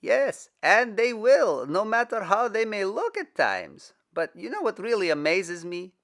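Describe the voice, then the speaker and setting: A man voicing a young male believer who talks in an excited, emphatic way, in a storybook reading. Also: high voice, unhurried, pitched above the performer's own voice, full character voice. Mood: earnest.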